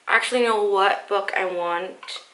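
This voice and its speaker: A woman's voice: medium pitch, a little slow, fairly bright tone, a little hiss or hum. A young woman vlogging about books, nasal and stuffed up from the flu, chatty and explaining each pick step by step. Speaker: book vlogger. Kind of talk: casual vlog talk to camera.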